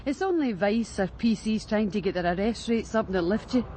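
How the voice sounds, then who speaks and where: An actress playing a brash, streetwise Glasgow streetwalker in a radio drama, breezy and frank, with a Glaswegian accent. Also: female voice, medium pitch, animated, normal speed, warm tone, natural voice. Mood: brash, dismissive. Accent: Glaswegian Scottish. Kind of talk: naturalistic radio drama acting. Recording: faint urban street noise.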